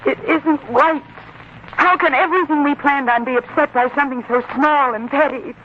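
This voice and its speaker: Woman acting a high-voiced fretful young bride, old radio. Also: normal speed, close to an everyday voice. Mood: distressed. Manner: fretful, earnest, theatrical. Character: distressed, devoted young bride-to-be. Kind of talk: 1940s radio drama acting. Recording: tinny, noisy 1940s radio broadcast.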